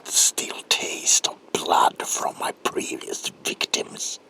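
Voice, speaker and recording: Evil victorian monster man for horror asmr, raspy whisper thats sharp and hissy, really slow n sadistic. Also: rough recording. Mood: sadistic menace. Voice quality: whispery, creaky, breathy rasp. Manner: menacing, slow, theatrical, swinging from whispers to outbursts. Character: sadistic, gloating monstrous alter ego, male Victorian villain.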